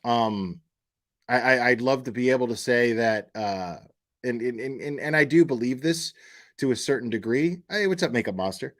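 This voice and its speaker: A man's voice: medium pitch, normal speed, fairly bright tone. A man hosting a casual true-crime livestream, blunt and opinionated, often repeating words and phrases as he works out his point. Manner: emphatic, opinionated, blunt with profanity. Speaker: livestream host.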